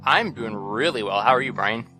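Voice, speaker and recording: Male co-host, bright tone, playful and self-mocking. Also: mid-range voice, lively intonation, moderate pace, soft background music. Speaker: podcast co-host. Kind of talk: casual podcast chat.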